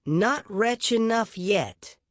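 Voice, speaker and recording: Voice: mid-range voice, lively intonation, takes it slowly, a bit bright, no echo, clean studio sound, pitched above the performer's own voice. A narrator voicing a vengeful little female sparrow in a storybook, stern and taunting in archaic speech.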